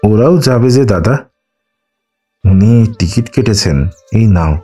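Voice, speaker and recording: A man's voice: on the low side, lively intonation, slow, dark tone, slightly rough, soft background music, exaggerated voice. Man voicing a wilful grumbling young sister-in-law. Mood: sly, confiding. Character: Manda, wilful complaining married sister-in-law, a young mother.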